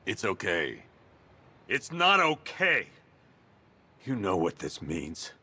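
A middle-aged police commissioner in a video game, defiant and anguished. The voice is fairly low, raspy and forceful, with strained emphasis. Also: male voice, huge pitch swings, takes it slowly, heavily acted. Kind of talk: dramatic video game acting.

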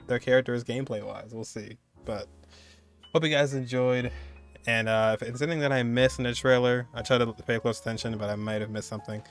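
A man in his twenties giving loose, conversational, slangy reaction commentary as a video host. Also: medium pitch.

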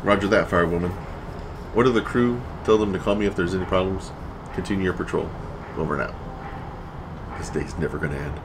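A man playing a gruff, commanding checkpoint captain in an audio drama, giving brisk, clipped military orders. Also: deep voice. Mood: weary, exasperated. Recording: vehicle and city noise behind.

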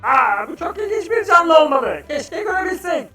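High sharp little cartoon creature, teasing n lively, echoey with faint music. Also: female voice, normal speed, full character voice. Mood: curious. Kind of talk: children's educational cartoon dialogue. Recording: roomy, distant sound with faint music.

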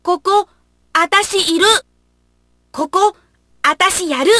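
Game casting: simple wild-child fighter, bright forceful high voice, broken blunt speech, animal growling. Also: female voice, slow pace, no echo, studio quality, full character voice. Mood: fierce, blunt. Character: wild, simple-minded feral child warrior who growls. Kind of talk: Japanese video game battle voice lines.

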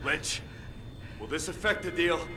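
An actor playing a grim, middle-aged criminal partner in a video game, blunt, accusing and profane. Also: male voice, a little high, unhurried, pushed and bright, slight background noise. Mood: suspicious. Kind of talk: video game cutscene acting.

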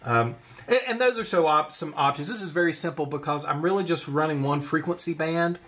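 A man's voice: fairly high pitch, brisk, a bit bright. Man explaining an electronics build, thinking aloud. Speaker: electronics tutorial presenter. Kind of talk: informal hands-on demonstration. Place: at a desk indoors.

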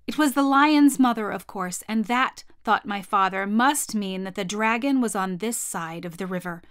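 A woman's voice: mid-range voice, a bit bright, no echo, studio quality. A woman, narrating a children's book expressively. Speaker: storyteller. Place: small home room with bookshelves.

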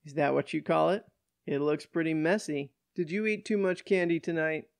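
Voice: medium pitch, normal speed, vocal fry, no echo, clean studio sound, close to an everyday voice. A narrator voicing a stern, old-fashioned male police officer, condescending and firm, in an audiobook story. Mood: patronizing.

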